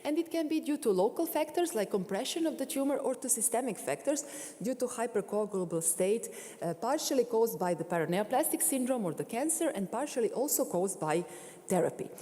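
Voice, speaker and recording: A woman delivers a conference talk on medical imaging in a big hall, her voice ringing with long reverb. Her tone is mellow and her pitch moves a lot. She pauses on frequent fillers and restarts as she walks through her slides. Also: lively intonation. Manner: frequent fillers and self-corrections while explaining slides. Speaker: conference speaker. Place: large concert hall.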